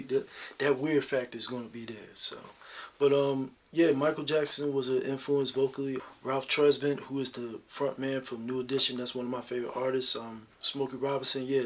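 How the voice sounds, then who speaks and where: Brief: deep-voiced male singer being interviewed, husky tone, easygoing, filler-heavy. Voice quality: deep talking voice. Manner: relaxed, reflective, many "you know" fillers. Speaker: singer guest. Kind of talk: interview answers.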